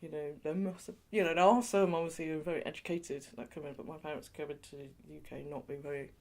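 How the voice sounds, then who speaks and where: A woman's voice: low voice, fairly even intonation, quick pace, darkish tone. A woman from England being interviewed, talking in a chatty way with lots of "you know" and light laughs. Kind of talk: oral history interview.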